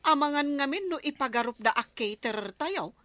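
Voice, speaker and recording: Bright, high-voiced gossipy Ilocano village woman played by an actress. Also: animated, moderate pace, dry recording. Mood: curious, amused. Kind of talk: Ilocano radio comedy drama acting.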